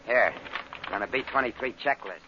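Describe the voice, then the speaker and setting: An actor playing a defiant, sarcastic spaceship engineer in an old science fiction radio drama, talking in rough slang. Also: male voice, medium pitch, moderate pace, natural voice. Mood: sullen, sarcastic. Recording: dull old radio recording, faint noise.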